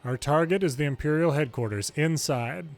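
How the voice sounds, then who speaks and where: A man's voice: a little high, normal speed, natural voice. A man voicing an earnest, commanding young squad leader in a war game, with music behind. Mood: resolute.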